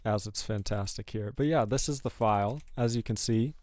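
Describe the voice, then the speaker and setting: A man's voice: on the low side, moderate pace, no room sound. A man hosting a live programming stream, chatting casually and rambling as he works through code. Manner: relaxed, rambling, self-correcting. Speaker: programming livestream host. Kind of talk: casual live coding chat.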